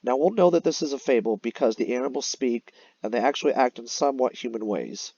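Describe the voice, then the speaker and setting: A male teacher explains a lesson to young children in a lively, varied voice. He speaks instructively, as if guiding a class through a read-aloud. The recording is dry and somewhat dull. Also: medium pitch, fast talker, creaky, breathy edge, no echo. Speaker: elementary teacher. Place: voice-over on a screen-recorded slide lesson.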